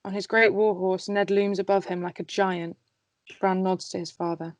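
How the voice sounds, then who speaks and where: A woman reads scripted stage directions as the narrator of an amateur table read. Her pitch is middling and the sound is dry and close. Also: little pitch movement, normal speed, no echo.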